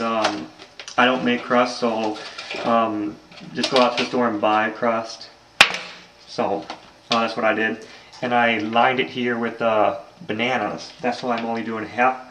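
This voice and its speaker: A man's voice: medium pitch, a bit bright. A young man casually talking through a home recipe in his kitchen, rambling with lots of ums, recorded on a handheld camera. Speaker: home cooking vlogger. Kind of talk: casual cooking demonstration. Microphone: handheld camera mic. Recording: echoey kitchen, faint noise.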